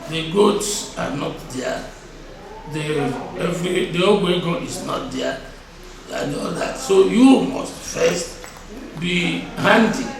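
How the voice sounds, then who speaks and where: Old igbo nigerian guy about 70, highish voice, slow emphatic answers, mic far off in a really echoey space. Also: animated. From Nigeria (Igboland). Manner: deliberate, emphatic, repeats phrases. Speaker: traditional title chief being interviewed. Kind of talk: interview answers. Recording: echoey, distant mic, crowd noise behind.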